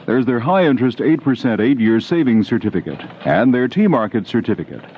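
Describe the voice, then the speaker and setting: Male announcer, mid pitch, very varied intonation, scripted ad, lo-fi broadcast with faint noise. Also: sing-song, a little fast. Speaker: commercial announcer. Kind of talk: scripted radio commercial read. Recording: dull, noisy old radio broadcast.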